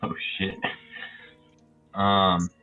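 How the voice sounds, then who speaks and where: A man's voice: deep voice, completely flat delivery, very slow, narrow, tinny audio, faint music behind. A man chatting casually as a player in a tabletop roleplaying game, hesitant and given to swearing.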